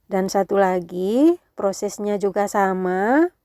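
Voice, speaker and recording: A woman with a nasal, congested voice from a cold gives a casual cooking tutorial, gently instructing her viewers. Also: medium pitch, expressive, takes it slowly, no room sound, studio quality. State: has a cold. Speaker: home cooking video host.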